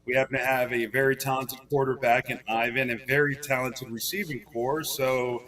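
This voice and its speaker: A man who is a head football coach, answering interview questions in a halting way with many fillers. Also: mid-range voice, steady tone, a bit bright, far from the mic. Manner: earnest, filler-heavy, halting.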